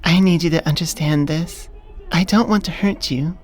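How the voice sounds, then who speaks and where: A woman playing a smitten, businesslike elf manager in a soft-spoken ASMR roleplay, earnest and deliberate. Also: on the low side, slightly rough, faint music behind. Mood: earnest, reassuring. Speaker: voice actor.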